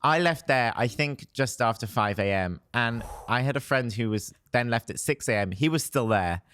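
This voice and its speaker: A hoarse young man, a showbiz reporter telling festival stories in a chatty way and laughing often. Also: medium pitch, lively intonation, normal speed, a bit bright, a little hiss or hum. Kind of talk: casual podcast chat.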